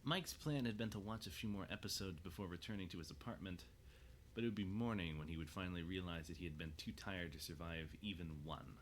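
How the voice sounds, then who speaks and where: Low-voiced male storyteller. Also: quick pace, slight background noise. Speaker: narrator. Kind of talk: scripted audio drama narration.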